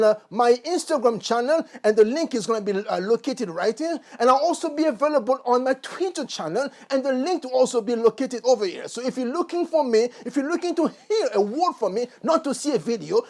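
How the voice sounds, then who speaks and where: A man talking earnestly to his channel's viewers in a warm, effusive way, with frequent fillers and restarts. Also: very high-pitched. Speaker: motivational YouTuber. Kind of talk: casual monologue to camera.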